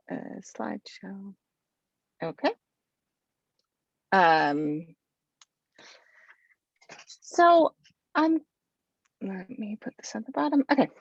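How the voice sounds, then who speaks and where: Lively-voiced woman lecturing over a call. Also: medium pitch, creaky, no echo. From Argentina. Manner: chatty, many ums and restarts. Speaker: sociology professor giving a talk. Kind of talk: informal lecture presentation. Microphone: video call. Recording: dull lo-fi video call audio.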